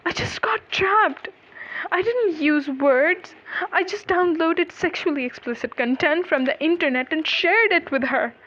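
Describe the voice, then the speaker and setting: A woman narrator playing an anguished male defendant in a dark story, pleading and exasperated as he justifies himself. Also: extremely high pitch, unhurried, highs cut off, pitched above the performer's own voice. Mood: desperate pleading.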